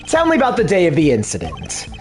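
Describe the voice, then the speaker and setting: A man voicing a composed, formal male prosecutor who questions a suspect in precise, measured phrases. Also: on the high side, wildly swooping pitch, pitched below the performer's own voice. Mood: probing. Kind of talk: fan dub of a video game.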